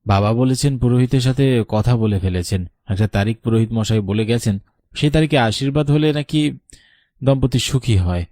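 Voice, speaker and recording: Guy doing polite young rich village son, talks quick, soft dark tone, cartoon. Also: mid-range voice, no echo, clean studio sound, close to an everyday voice. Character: polite, dutiful young landlord's son, lovestruck. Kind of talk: Bengali cartoon story voice acting.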